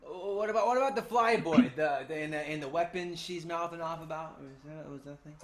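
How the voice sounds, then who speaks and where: A man's voice: on the high side, a little fast, over a noisy crowd, lightly acted. A man playing a crazy, twitchy rebel henchman who stammers and repeats himself in a screenplay table read. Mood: nervous, eager. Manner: nervous stammering, repeats words.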